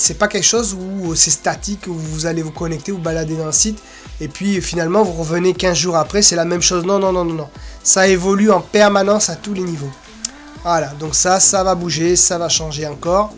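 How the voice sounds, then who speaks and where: A presenter giving an informal, unscripted screen-share walkthrough, rambling casually with little filler sounds. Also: medium pitch. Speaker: presenter of an association's website.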